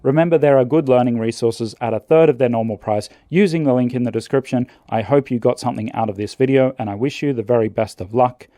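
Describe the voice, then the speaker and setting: A man calmly narrating scripted language-learning advice in a measured, earnest way. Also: mid-range voice, dry recording, clean studio sound.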